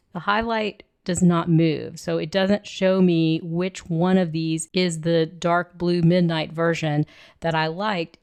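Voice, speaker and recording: A woman with a cold and a hoarse voice, talking through a screen-recorded software tutorial and thinking aloud as she clicks. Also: fairly low pitch. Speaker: illustrator teaching software.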